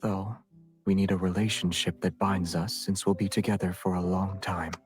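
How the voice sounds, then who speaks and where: A man plays a cool young king in a romance game, his voice low and measured. He is aloof and guarded with a teasing edge, and music plays behind him. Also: level tone, lightly acted. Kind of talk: otome game voice acting.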